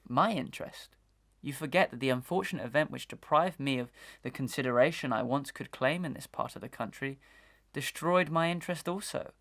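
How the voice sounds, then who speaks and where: A man playing an earnest, honourable but disgraced gentleman in a Victorian melodrama, speaking formally and declaiming with feeling. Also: medium pitch, fairly quick, lightly acted. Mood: impatient, regretful. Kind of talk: Victorian melodrama audio acting.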